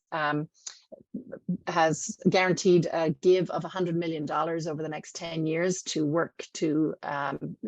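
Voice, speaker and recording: A woman's voice: sits low, no room sound, rough recording. Chatty woman presenting on a webinar. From not from the Midwest. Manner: warm, chatty, many fillers. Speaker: residency program director. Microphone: video call.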